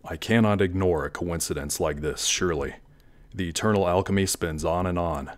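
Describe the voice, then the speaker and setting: A man playing a cold, scheming, grandiose villain in an audio drama, theatrical and deliberate. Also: deep voice, natural voice. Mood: sinister, grandiose.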